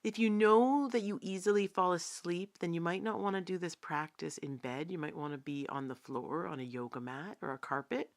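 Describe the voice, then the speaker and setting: A woman meditation teacher guiding a body scan in a calm, unhurried, gently instructive manner, leaving long pauses. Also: on the low side.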